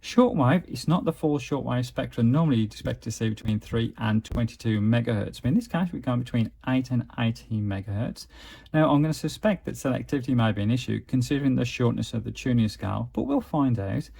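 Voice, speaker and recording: A man's voice: medium pitch, a little fast, creaky, dark tone, breathy edge. A man giving a casual, methodical product walkthrough as a gadget reviewer. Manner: methodical, explanatory.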